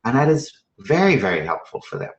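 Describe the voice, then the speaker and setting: An echoey room recording of a man presenting math teaching strategies to fellow teachers. His mid-pitched voice swings expressively up and down. He talks conversationally and with emphasis, posing rhetorical questions and checking in often with short tags. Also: big pitch swings, brisk, creaky, dull audio. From Trinidad and Venezuela. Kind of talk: live instructional presentation to teachers.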